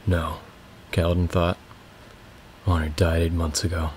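A young man with a raspy voice, reading a fantasy novel aloud as a home audiobook from his bedroom. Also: deep voice, a little hiss or hum. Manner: slow, casual intro then steady reading. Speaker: amateur audiobook reader. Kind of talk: audiobook narration.

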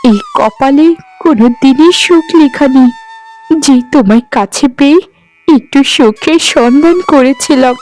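A meek young village wife from a cartoon fairy tale, acted by a woman. She speaks in a very high, earnest and plaintive voice, full of devotion, over faint music. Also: a little mellow. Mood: resigned, sorrowful.

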